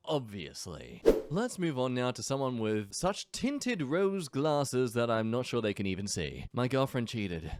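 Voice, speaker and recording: A man's voice: mid-range voice, no echo. A young man from England hosting a reaction channel, reading online stories aloud and adding sarcastic asides. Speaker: YouTube reaction host.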